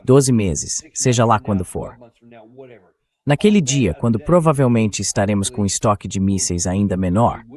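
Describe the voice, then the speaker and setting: A man's voice: lowish voice, darkish tone. A man's synthetic AI voice reading a translated interview as the host who frames the questions.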